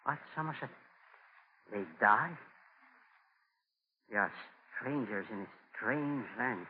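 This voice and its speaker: An actor playing a dignified, compassionate Native man, speaking earnestly in measured, formal words, in 1940s radio drama style. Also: mid-range voice, expressive, breathy edge. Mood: sorrowful resolve. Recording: tinny, noisy 1940 radio recording.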